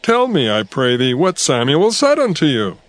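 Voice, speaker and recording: A man's voice: medium pitch, wildly swooping pitch, moderate pace, dry recording. A man playing an inquisitive, insistent uncle in dramatized scripture dialogue.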